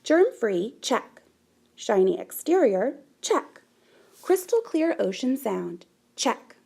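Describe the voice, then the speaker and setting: Female storybook reader, high and very lively, unhurried, expressive delivery for young listeners. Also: gravelly, breathy edge. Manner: animated, deliberate, dramatic emphasis. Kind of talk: expressive children's picture book read-aloud.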